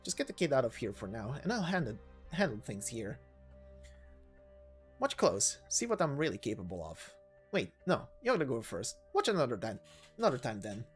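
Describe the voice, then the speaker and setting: A woman's voice: very deep voice, big pitch swings, normal speed, faint music behind, pitched below the performer's own voice. A woman voicing a brash, swaggering young female knight in a game story, cocky, slangy and emphatic. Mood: bossy, confident.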